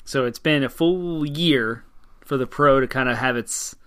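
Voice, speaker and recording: A man's voice: mid-range voice, measured pace, fairly bright tone, clear, resonant tone. A man talking casually as a co-host in a podcast conversation.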